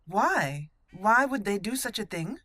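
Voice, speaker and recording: A woman's voice: medium pitch, sing-song, measured pace, bright, forceful tone. A woman hosting a video game roleplay let's play, talking in a conversational, explanatory way. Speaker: gaming channel host. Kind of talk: roleplay let's play commentary, reading character dialogue in between.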